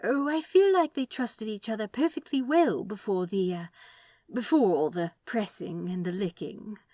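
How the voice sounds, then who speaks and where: A woman's voice: medium pitch, animated, normal speed, warm tone, dry recording, studio quality, lightly acted. A woman voicing a prim, curious male angel with a hesitant, polite, fussy way of talking in a story reading. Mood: tentative.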